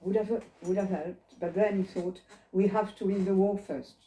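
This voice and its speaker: Elderly woman with a mellow voice. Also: medium pitch, steady tone, normal speed. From France. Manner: reflective, digressive, asks listeners questions. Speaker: holocaust survivor telling her story. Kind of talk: personal storytelling to a small group. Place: living room. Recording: roomy living room.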